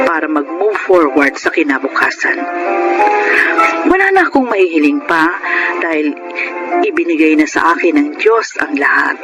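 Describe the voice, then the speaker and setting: A woman's voice: deep voice, low-quality recording. An actress playing a grateful, devoted older wife and mother in a radio drama, speaking with earnest sentiment. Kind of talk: radio drama acting and first-person narration. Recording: radio drama under loud music.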